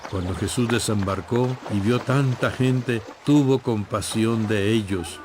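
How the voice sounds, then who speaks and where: A man narrating a dramatized audio Bible from a script in solemn, clearly phrased storytelling. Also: mid-range voice, noisy background.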